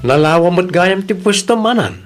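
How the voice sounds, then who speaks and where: A man's voice: high-pitched, animated, normal speed, over loud music. A man with an Ilocano accent playing an easygoing, helpful father in a family audio drama. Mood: curious, chatty.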